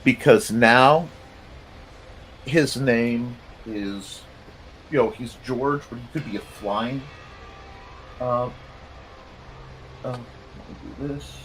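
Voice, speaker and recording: A man with a slightly croaky, strained voice chatting casually and goofily, half to himself, as he hosts a drawing stream. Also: mid-range voice, takes it slowly, pauses often, a bit bright, a little hiss or hum, rough recording. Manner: goofy, rambling, half talking to himself. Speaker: cartoonist hosting a live art show. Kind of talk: casual chat while drawing.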